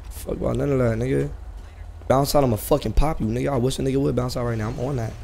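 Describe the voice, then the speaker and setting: A man's voice: mid-range voice, fairly even intonation, fast, vocal fry, warm tone. A man streaming street-crime game roleplay, talking in confrontational slang and insistently repeating himself over some noise.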